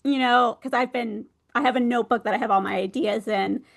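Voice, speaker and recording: High-pitched woman, chatty and animated. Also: fairly quick, vocal fry. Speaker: guest author, ghostwriter and audio drama producer. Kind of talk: casual interview chat about writing.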